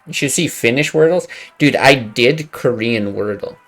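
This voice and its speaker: A man's voice: mid-range voice, moderate pace, a bit bright, soft background music. A young man streaming a card game, chatting casually and thinking aloud, swearing under his breath now and then. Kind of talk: casual gameplay commentary.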